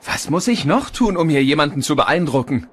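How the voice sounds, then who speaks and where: A man voicing a cocky, friendly young race car with a breezy, self-assured manner in an animated film dub. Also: fairly high pitch, brisk, breathy edge. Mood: boastful.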